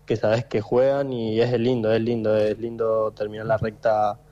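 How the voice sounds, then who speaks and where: A man in his twenties with an Argentine accent, a footballer answering radio interview questions haltingly, with many fillers and repeated words. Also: fairly low pitch, flat delivery, warm tone, lo-fi sound. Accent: Argentine Spanish. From Tucumán, Argentina. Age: 20s. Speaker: footballer guest.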